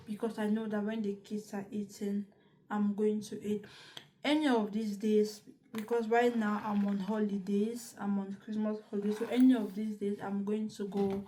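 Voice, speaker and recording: A woman's voice: medium pitch, dark tone. A young woman from northern Cameroon talking casually to the camera in a chatty, repetitive way, restarting her sentences often. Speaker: vlogger.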